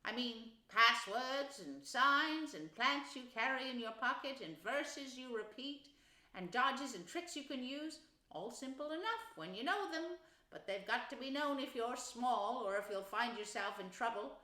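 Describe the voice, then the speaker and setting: A woman's voice: a little high, animated, a little slow, sharp tone, reverberant, voice pushed up. A woman voicing a brave, good-natured male water rat in a storybook, with a cheery, emphatic and reassuring manner. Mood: soothing, advising.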